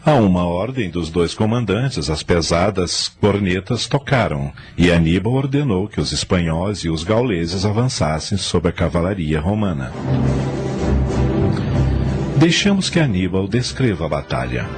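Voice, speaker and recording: A man's voice: low-pitched, sing-song, a little slow, soft background music. A man narrating a radio drama in a formal, scripted storytelling manner.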